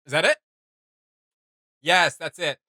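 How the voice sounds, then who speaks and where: A man's voice: a little high, takes it slowly, bright, forceful tone, no room sound, studio quality. A man hosting a reaction video, commenting casually in an enthusiastic, conversational way.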